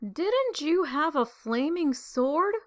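A woman's voice: high-pitched, big pitch swings, takes it slowly, voice pushed up, heavily acted. A woman voicing a sly, sarcastic male demon who needles his companion with teasing questions in an audiobook reading. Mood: needling.

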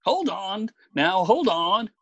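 Woman acting a husky, blustering, exasperated man. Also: mid-range voice, big pitch swings, takes it slowly, fairly bright tone, no echo, dull audio, pitched below the performer's own voice, full character voice. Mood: exasperated, angry. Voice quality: husky, whining. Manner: blustering, muttering, oath-prone. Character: fussy, excitable, increasingly exasperated ordinary man in the street.

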